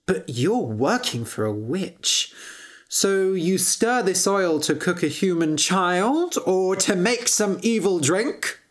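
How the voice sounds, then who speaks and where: A woman's voice: lowish voice, sing-song, takes it slowly. A woman voicing a bold, no-nonsense young female adventurer in a storybook tale, speaking bluntly and directly. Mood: indignant, determined.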